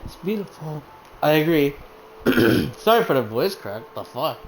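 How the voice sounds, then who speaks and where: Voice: mid-range voice, big pitch swings, unhurried, a little hiss or hum, rough recording. Casual, swearing game streamer. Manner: casual, swears, offhand asides. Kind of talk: casual gameplay commentary.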